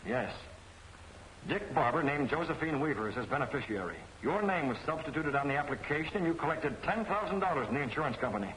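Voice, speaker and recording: An actor playing a stern masked crime fighter, terse and demanding, in 1940s film serial style. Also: male voice, fairly high pitch, big pitch swings, fast talker, fairly bright tone, slight background noise, rough recording.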